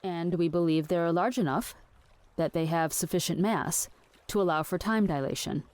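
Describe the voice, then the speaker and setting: Earnest, lower-voiced woman researcher, as an actress plays her. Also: darkish tone, natural voice. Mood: earnest, pedantic. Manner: measured, pedantic, matter-of-fact. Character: Lee, earnest, evasive woman researcher with fringe theories. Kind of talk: scripted audio drama acting.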